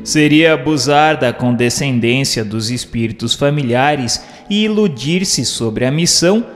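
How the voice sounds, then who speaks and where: A man's voice: medium pitch, animated, a little slow, big-room sound. A man narrating scripted book commentary in a formal, didactic manner. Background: faint music.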